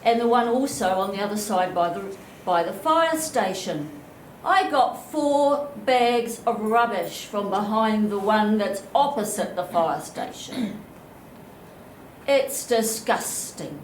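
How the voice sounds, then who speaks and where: A woman's voice: medium pitch, expressive. A woman in her seventies with a New Zealand accent, asking a blunt, indignant question from the floor at a public meeting on a handheld mic. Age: 70s. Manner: blunt, indignant, slow and emphatic. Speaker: audience member asking a question. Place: chapel hall. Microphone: handheld microphone. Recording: echoey hall, faint room noise.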